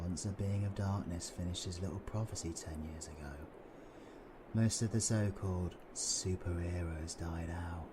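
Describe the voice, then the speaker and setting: Male actor as brooding British supervillain, unhurried, sardonic, dramatic, sweary, audio drama, clear background noise. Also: low voice. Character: cynical, sardonic male supervillain with dark powers. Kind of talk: audio roleplay acting.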